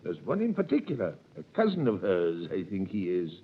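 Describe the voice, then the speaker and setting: A man's voice: low-pitched, wildly swooping pitch, brisk, slightly rough, heavily acted. An actor playing a sly, teasing middle-aged male tailor in a 1930s radio drama, with an insinuating, chuckling manner, on a tinny old recording.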